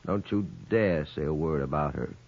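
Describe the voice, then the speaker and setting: Husky, menacing radio crime boss. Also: male voice, a bass voice, moderate pace, darkish tone. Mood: angry, menacing. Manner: curt, snapping, bullying. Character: jealous, short-tempered, menacing betting boss. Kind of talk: old-time radio crime drama acting. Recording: muffled old radio recording.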